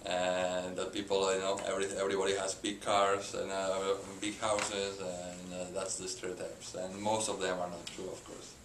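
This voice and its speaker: Man, Spanish accent, middle-aged, halting speech, lots of fillers, echoey room, background hiss. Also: low-pitched, completely flat delivery. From Spain. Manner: halting, many filler "uh"s and restarts. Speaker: company president, interview guest. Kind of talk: hesitant unscripted interview answers.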